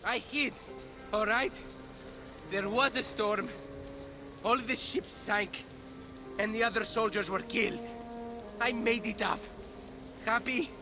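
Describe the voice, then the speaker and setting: A smug young soldier in a game cutscene. His voice is high for a man, glib and sarcastic, quick to turn defensive, with music behind it. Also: fairly even intonation. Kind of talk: video game cutscene acting.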